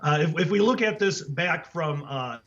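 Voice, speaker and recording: Raspy hoarse guy, kinda high voice, dull lo-fi sound, talks earnest with lots of uhs. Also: expressive, moderate pace, a bit bright. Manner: earnest, with many fillers and restarts. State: hoarse after the flu. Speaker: guest author. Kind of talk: casual interview talk. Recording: dull lo-fi audio.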